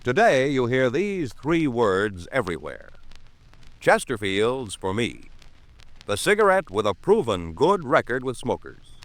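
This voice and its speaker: A man's voice: medium pitch, big pitch swings, a little slow, no echo, rough recording. An American man announcing in a polished, emphatic 1950s radio style, with formal scripted delivery. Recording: vintage 1950s radio recording, music behind.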